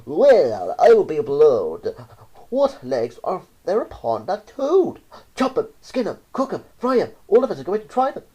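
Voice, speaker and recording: A woman voicing a crowd of greedy, excited Frenchmen in a storybook, loud and eager. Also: lowish voice, normal speed, exaggerated voice, rough recording. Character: hungry, shouting crowd of Frenchmen.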